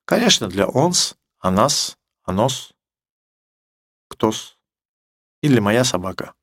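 A Russian-speaking man teaching English grammar, explaining with emphatic repetition and slowing down for English examples in a Russian accent. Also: fairly low pitch, fairly bright tone, no echo, clean studio sound. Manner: emphatic, repeats key words, slows down for English examples.

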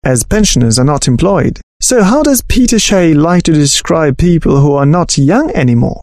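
Actor as a polite, curious male interviewer: higher voice, lively inflection, dry booth. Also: moderate pace, no echo. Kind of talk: scripted textbook dialogue.

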